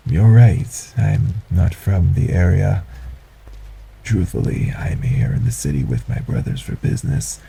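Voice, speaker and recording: Polite, quietly menacing stranger, played by a man: dark mellow tone, soft breathy delivery, formal manners, faint background noise. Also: low-pitched, lively intonation, a little slow, full character voice. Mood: smooth, courteous. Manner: formal, courteous, softly menacing. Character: polite sinister male stranger, secretly a kidnapper. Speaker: audio roleplay voice actor. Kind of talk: audio roleplay acting.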